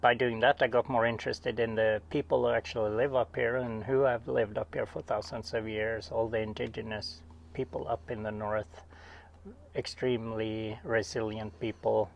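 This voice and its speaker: A man's voice: fairly low pitch, level tone, normal speed, vocal fry, lo-fi sound, a little hiss or hum. A middle-aged man from Sweden with a Swedish accent, a guest oceanographer chatting casually and self-deprecatingly, recorded in a small cabin. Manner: rambling, self-deprecating, with laughs and restarts. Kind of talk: casual interview chat.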